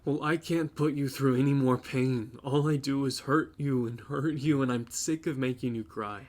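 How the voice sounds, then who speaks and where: A man's voice: mid-range voice, steady tone, normal speed, darkish tone, close to an everyday voice. A young man acting in an audio drama as a conflicted, remorseful boyfriend, speaking earnestly and apologetically. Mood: pained, firm. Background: plucked guitar music.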